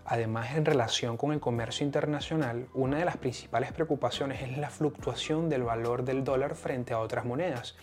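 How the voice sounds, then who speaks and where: A man with a Venezuelan accent hosting an explanatory podcast in a partly scripted monologue. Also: medium pitch. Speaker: podcast host.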